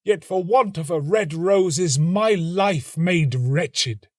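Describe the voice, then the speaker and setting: A lovelorn young student from a storybook, acted out by a male narrator. His voice is lifted high and slowed into long, plaintive phrases, full of melodramatic romantic despair. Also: darkish tone, dry recording, studio quality. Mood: despairing, tearful. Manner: plaintive, melodramatic, drawn-out sighing delivery. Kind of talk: storybook reading.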